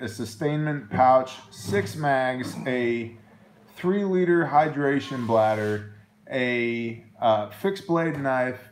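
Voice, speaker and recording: A man in casual live-stream talk, blunt and matter-of-fact, voice at an everyday middle pitch. A faint hiss of noise sits under his voice. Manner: blunt, reads viewer comments aloud. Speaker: co-host of a live show.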